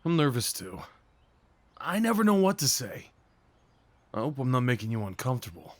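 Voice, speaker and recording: Male actor as jittery, kind-hearted young date for ASMR roleplay; hesitant, self-effacing, mid-pitched voice. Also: very animated, normal speed, dry recording, natural voice. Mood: shy, nervous. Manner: hesitant, apologetic, rambling, sighs and nervous chuckles. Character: nervous, sweet young man on a first date. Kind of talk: ASMR roleplay acting addressed to the listener.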